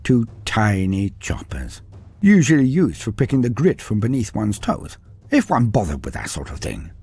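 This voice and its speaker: A man with a husky voice reading a fantasy tale in a dry, wry storytelling manner. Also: on the low side, huge pitch swings, moderate pace, a little mellow. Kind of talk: scripted fantasy narration.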